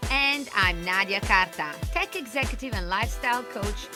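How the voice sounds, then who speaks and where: A woman's voice: mid-range voice, animated, normal speed. A woman co-hosting a podcast, reading the scripted show intro in a polished way. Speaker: podcast co-host. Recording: voice over loud hip hop music.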